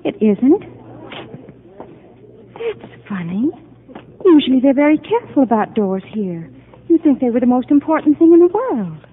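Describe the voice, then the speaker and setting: An actress playing a confused, fragile married psychiatric patient, hesitant and bewildered, in 1950 radio drama style. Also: female voice, sits high, very animated, moderate pace, pauses often, a little hiss or hum. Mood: childlike, anxious. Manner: hesitant, bewildered, childlike questioning. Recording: muffled narrow-band 1950 radio broadcast.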